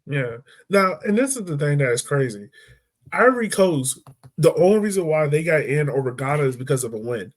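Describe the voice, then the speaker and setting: A man hosting a podcast in relaxed, chatty sports talk, often laughing and dropping casual fillers. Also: a little high, brisk. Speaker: podcast host.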